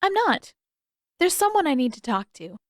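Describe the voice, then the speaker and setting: A blunt teenage girl played by a woman reader in an audio story, pitched above the narration. She is skeptical and unimpressed, answering with matter-of-fact retorts. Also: very animated, normal speed, dry recording, clean studio sound. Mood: teasing, dismissive.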